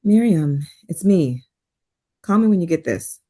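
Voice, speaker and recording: A woman playing a composed but worried therapist in a dramatic table read, measured and guarded. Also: fairly low pitch, lively intonation, mellow. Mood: concerned.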